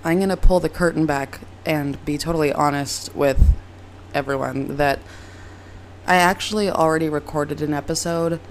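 Raspy, hoarse young woman podcaster. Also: low voice, fairly even intonation, moderate pace, slight background noise. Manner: rambling and self-deprecating. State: hoarse from a cold. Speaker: podcast host. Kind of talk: casual solo podcast chat. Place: moved from Reno to LA, recording at home.

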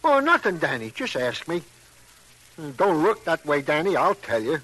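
An earnest, long-winded police sergeant in a vintage radio drama. His voice sits fairly high for a man, and his phrasing is stilted, roundabout and ungrammatical. He comes across as simple-hearted and plodding. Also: very animated, normal speed, a bit bright, poor audio. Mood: eager, obliging. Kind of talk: 1950s radio crime drama acting.

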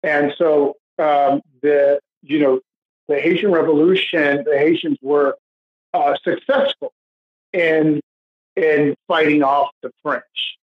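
Man, podcast guest, slightly high voice, husky, halting, repeats words, muffled low-fidelity recording. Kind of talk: unscripted discussion. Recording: dull lo-fi audio.

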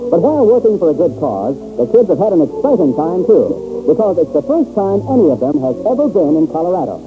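Animated high-pitched vintage American radio announcer, male. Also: fairly quick, music in the background. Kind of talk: 1940s radio show announcing, scripted. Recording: muffled vintage 1940s radio recording.

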